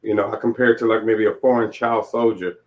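Low-voiced man in his late forties, muffled audio. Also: little pitch movement, quick pace. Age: late 40s. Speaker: interview guest, author. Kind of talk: candid personal storytelling. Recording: dull, lo-fi audio.

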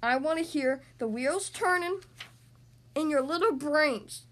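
Blustering cat pirate king for a storybook, played by a woman: loud, bossy, impatient, animated delivery. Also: fairly high pitch, a little fast, natural voice. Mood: roaring, impatient. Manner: loud, impatient, barking orders.

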